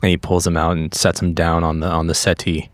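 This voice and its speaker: Very low-voiced male tabletop player. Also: level tone, a little fast, no echo. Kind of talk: third-person narration of his character's actions.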